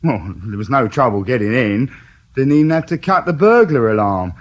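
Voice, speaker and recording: An actor playing a cheeky, evasive small-time burglar being questioned by police in a radio drama, breezy and mocking. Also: male voice, mid-range voice, animated, normal speed, lightly acted. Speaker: suspect.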